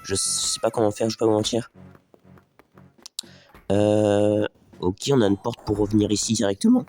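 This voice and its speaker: A man with a slightly stuffy voice from a cold talks his way through a video game, speaking straight to his viewers and thinking aloud. His voice sits low and warm. Faint music plays behind him. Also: fairly even intonation, normal speed. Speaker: gaming video host. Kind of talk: casual let's play commentary.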